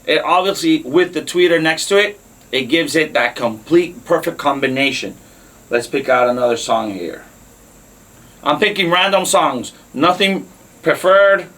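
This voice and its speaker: A man's voice: a little high, a little slow, fairly bright tone, rough recording. A man hosting a product unboxing and demo in a casual, informal way, often repeating short phrases. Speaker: host.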